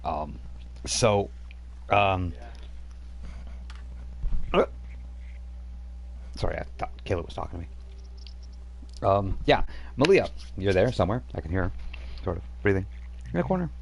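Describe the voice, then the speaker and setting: A man's voice: deep voice, lively intonation, a little slow, gravelly, pauses often, slight background noise, low-quality recording. A man running a tabletop role-playing game over voice chat, narrating loosely with many fillers and restarts. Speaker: game master.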